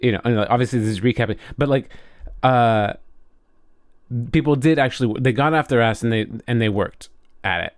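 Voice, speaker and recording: An American man with a mid-pitched voice talks casually as a podcast co-host. He is frank and swears loosely. The sound is dry, with very little room around it. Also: fairly quick, no echo. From United States. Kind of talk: casual chat.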